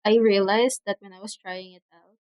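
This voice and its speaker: A young woman from the Philippines chats casually on her livestream, speaking softly with frequent pauses and fillers. Her cheap mic gives a dry, close sound in a room at home. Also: mid-range voice, steady tone, dry recording, highs cut off. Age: young adult. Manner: soft-spoken, hesitant, many fillers. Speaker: streamer. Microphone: cheap desk mic.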